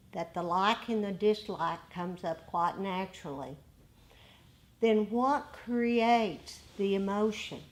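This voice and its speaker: A woman giving a dharma talk as a meditation teacher, explaining in short, measured phrases. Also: medium pitch.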